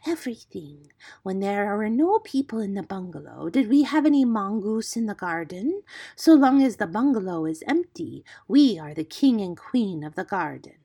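A scheming cobra queen from a storybook, put on by a woman. She sounds hushed and conspiratorial. She gives her orders with cold command. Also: medium pitch, big pitch swings, normal speed, gravelly, husky, voice pushed up, heavily acted.

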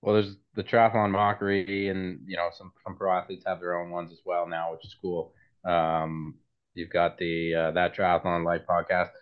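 A man's voice: low voice, little pitch movement, moderate pace, smooth, narrow, tinny audio. A man co-hosting a podcast, explaining things in a matter-of-fact way. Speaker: podcast co-host. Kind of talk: casual podcast chat.

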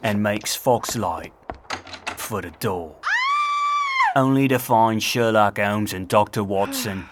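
Low-voiced male audio drama narrator. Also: slow, pauses often, heavy background noise.

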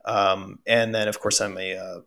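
A man's voice: lowish voice, level tone, normal speed, heavy background noise. A podcast co-host, a man who grew up speaking Russian, thinking aloud in casual conversation with many fillers.

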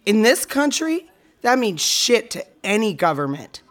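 A woman acting as a serious, reflective friend in a naturalistic audio drama, speaking in a measured, deliberate way. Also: mid-range voice, very animated.

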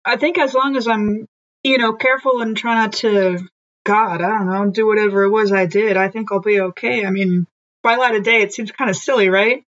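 A woman's voice: mid-range voice, fairly even intonation, a little fast, highs cut off. A woman playing a tabletop role-playing game, talking through her character in a hesitant, self-deprecating way. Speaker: player.

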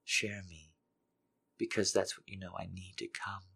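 A man voicing a hushed, pleading woman. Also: mid-range voice, fairly even intonation, fairly quick, voice pushed up, natural voice. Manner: soft breathy pleading, half-whispered. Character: pleading aroused adult woman, needy and submissive.

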